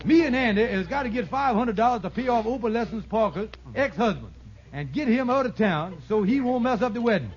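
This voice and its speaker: An actor playing a glib, bumbling schemer in a 1950s radio sitcom, with folksy, stalling, ungrammatical patter. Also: male voice, very high-pitched, animated, brisk. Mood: anxious, confiding. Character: fast-talking male schemer, glib, wheedling, bumbling con artist. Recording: muffled, noisy vintage radio broadcast.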